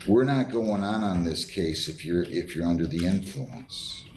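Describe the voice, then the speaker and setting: A man with a deep, dark-toned voice presides as a judge in a reverberant courtroom. He questions and admonishes firmly, repeating himself and cutting in. Faint noise sits behind him. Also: unhurried. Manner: stern, firm, interrupts and repeats himself.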